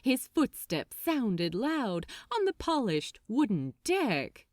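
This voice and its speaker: A woman reading a story aloud as a dramatic, expressive and deliberate narrator. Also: fairly high pitch, no echo, studio quality. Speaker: audiobook narrator.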